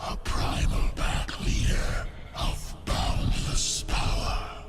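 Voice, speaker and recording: Guy doing solemn alien warrior mystic for a game, breathy rasp, slow, loud music under it. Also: natural voice. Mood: grave, foreboding. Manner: formal, portentous. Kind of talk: video game cinematic acting. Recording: heavily echoing voice over loud music.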